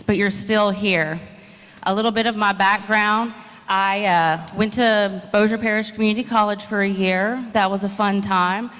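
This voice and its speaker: A woman's voice: mid-range voice, a bit bright. Chatty woman candidate, echoing hall. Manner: chatty, rising to emphatic appeals. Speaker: mayoral candidate. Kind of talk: campaign speech at a panel. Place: large indoor event hall. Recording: long hall reverb.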